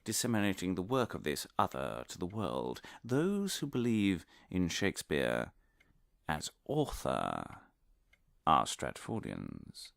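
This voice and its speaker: A man's voice: sits low, huge pitch swings, takes it slowly, vocal fry, fairly bright tone, dry recording, clean studio sound, heavily acted. An actor playing an earnest, muddled, pompous male academic obsessed with conspiracy, giving a theatrical, digressive comic lecture. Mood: lecturing, dramatic. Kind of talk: comic monologue delivered as a scholarly lecture.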